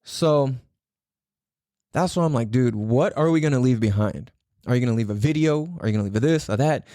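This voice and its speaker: A man's voice: mid-range voice, fairly quick, dark tone, no room sound, clean studio sound. A man hosting his own podcast in a casual solo talk, swearing freely, laughing often and repeating words for emphasis. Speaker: podcast host.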